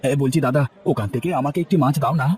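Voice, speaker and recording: A man's voice: medium pitch, racing, darkish tone, a faint crowd, a little hiss or hum, natural voice. A man playing a poor, put-upon grown son who sells street snacks, grumbling and pleading in a cartoon. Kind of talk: cartoon voice acting. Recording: faint music bed behind.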